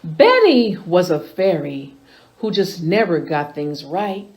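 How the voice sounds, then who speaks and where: A woman reading picture books aloud to children in an expressive storytime style, as a host with a deliberate, animated delivery. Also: fairly low pitch.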